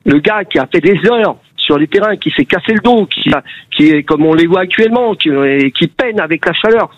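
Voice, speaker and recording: A man's voice: on the high side, expressive, a little fast, no echo. An older man from the Paris region, calling in to a radio debate, indignant and groping for words. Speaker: caller. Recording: muffled phone line.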